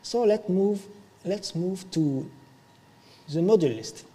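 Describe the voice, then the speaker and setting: A man whose first language is French gives a relaxed, improvised technical talk. His voice is mellow and sits a little high for a man. It was captured on a live stream, with long reverb from the hall. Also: slow. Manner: casual, self-deprecating, restarts often. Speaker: meetup speaker. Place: meetup venue, large hall.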